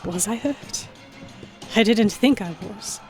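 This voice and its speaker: A woman's voice: medium pitch, animated, slow, music in the background. A woman playing an anxious young noblewoman who narrates an audio drama in a fretful, tentative, introspective manner. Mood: tense, dramatic. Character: anxious young noblewoman heroine narrating her captivity among pirates. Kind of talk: first-person scripted narration in audio drama.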